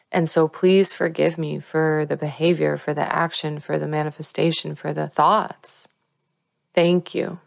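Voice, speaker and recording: Low-voiced woman teaching gently. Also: vocal fry, no room sound. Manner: earnest and coaching, slows down to stress key words. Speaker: spiritual teacher and author. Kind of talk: guided spiritual teaching.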